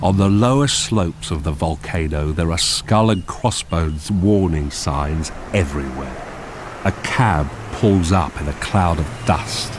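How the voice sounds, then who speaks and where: A man narrating a radio drama from a script in a measured, descriptive way. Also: sits low, noisy background. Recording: music clearly behind. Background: music.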